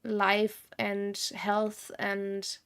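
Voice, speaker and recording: A woman's voice: mid-range voice, completely flat delivery, extremely slow, gravelly, fairly bright tone, clean tone. A woman game streamer giving casual live commentary, musing and thinking aloud.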